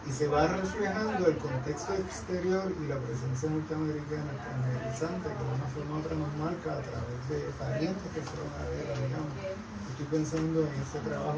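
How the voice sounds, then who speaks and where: A man with a Puerto Rican accent and a slightly high voice hosts a book interview, phrasing his questions in a halting, reflective, learned way. He sounds distant from the microphone, with loud noise around him. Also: vocal fry. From Puerto Rico. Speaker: interviewer, host of a book show. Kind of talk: literary interview, conversational questions. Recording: roomy, very noisy, distant microphone.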